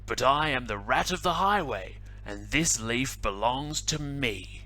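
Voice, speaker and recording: A man voicing a greedy, bullying highway robber rat in a picture-book reading, shouting his demands. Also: lively intonation, measured pace. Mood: bellowing greedy. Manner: loud, blustering demands. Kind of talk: picture-book read-aloud.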